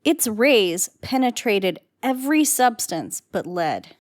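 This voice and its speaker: A woman's voice: a little high, sing-song, takes it slowly. A woman giving scripted, dramatic narration for an old-time radio play.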